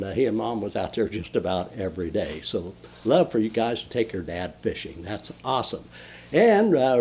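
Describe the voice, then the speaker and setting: An older man with a twang and a husky, slightly worn voice. He hosts a folksy fishing report from a cozy den, excited and rambling. He talks straight to the camera with lots of fillers and restarts. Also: medium pitch. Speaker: fishing show host. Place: indoor den with mounted fish on the wall.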